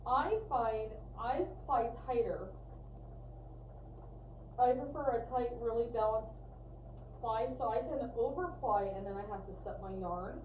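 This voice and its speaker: A woman's voice: a little high, a little slow, long pauses, a little mellow. A woman co-hosting a livestream, chatting casually and matter-of-factly as she teaches a craft. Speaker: co-host of a fiber arts livestream. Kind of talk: casual spinning tutorial chat. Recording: echoey, distant mic, noisy room ambience.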